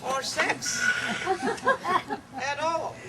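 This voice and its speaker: A woman from Pakistan with a Pakistani accent, performing a satirical monologue in a deliberate, dramatic way. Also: sits high, steady tone. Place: live venue, cafe. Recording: distant mic, clear background noise.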